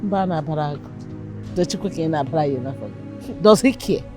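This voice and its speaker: A woman's voice: low voice, brisk, over music. An actress playing a blunt, scornful mother, talking in a sardonic way. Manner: sardonic, animated.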